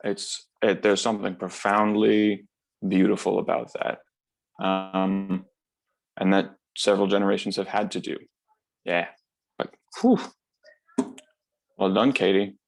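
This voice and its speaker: A man with a low, gravelly voice offers heartfelt reflections to a panel. He goes very slowly and deliberately, halting earnestly between phrases. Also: flat delivery. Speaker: actor.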